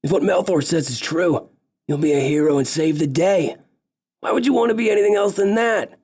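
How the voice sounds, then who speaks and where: A man's voice: on the high side, a little fast, darkish tone, husky, pitched above the performer's own voice. A man doing a jolly big elf. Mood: encouraging. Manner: jovial, boisterous. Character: cheerful big male elf, ex-lumberjack.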